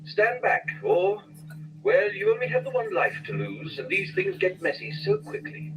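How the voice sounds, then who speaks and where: Man voicing a cold, taunting alien villain, high lively voice, audio drama, lo-fi with music. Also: moderate pace, noisy background, heavily acted. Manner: smooth, taunting, coldly polite. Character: menacing villainous alien mastermind, male, adult.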